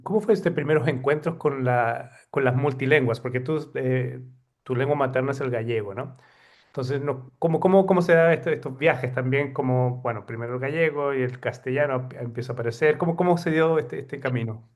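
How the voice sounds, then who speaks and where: A male host poses interview questions in a halting way, restarting and repeating words before he gets them out. His voice sits at a middle pitch.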